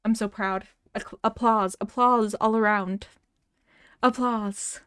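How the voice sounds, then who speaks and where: A woman's voice: on the high side, steady tone, slow, a bit bright, clear, resonant tone, no echo, clean studio sound. A woman streamer in casual chat with her viewers, stuttering often and restarting words, laughing now and then. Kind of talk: casual livestream chat, reading chat messages and a story aloud.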